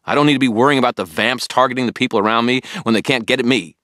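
A man's voice: medium pitch, rapid-fire, a bit bright, dry recording, voice pushed up, natural voice. Man as a stubborn male wizard private eye, short-tempered, sardonic, defiant, audiobook dialogue, very dry sound. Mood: angry, defiant.

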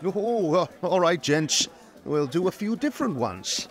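A courteous photographer, slow and lilting, lots of ums. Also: male voice, high-pitched, sing-song, exaggerated voice. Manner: courteous, hesitant with fillers. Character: polite, fussy male wedding photographer. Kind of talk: audio drama acting.

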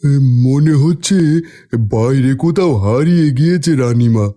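A man voicing a cautious, deferential royal minister in a cartoon fairy tale, measured and respectful. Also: on the high side, warm tone, natural voice.

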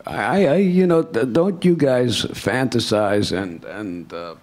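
An older man on a discussion panel, speaking in a halting, musing way. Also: medium pitch, animated, darkish tone. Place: lecture hall, at a panel table.

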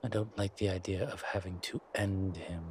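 A man playing a centuries-old vampire in a roleplay audio drama, suave, wry and protective, speaking in a measured, theatrical way with deliberate pauses. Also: deep voice, steady tone, a little mellow, close to an everyday voice. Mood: grave, reluctant. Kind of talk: scripted roleplay audio drama addressed to the listener. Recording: clear background ambience noise.

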